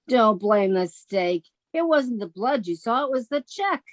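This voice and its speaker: A woman's voice: fairly high pitch, animated, measured pace. A woman playing a sensible, wisecracking, exasperated young roommate in a 1940s-style radio comedy. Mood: exasperated, wry.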